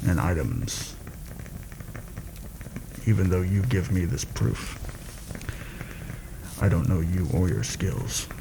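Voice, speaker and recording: A man playing a seasoned fantasy assassin in a soft-spoken ASMR roleplay, measured and deliberate, wary and proud. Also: low-pitched, gravelly, noisy background, exaggerated voice.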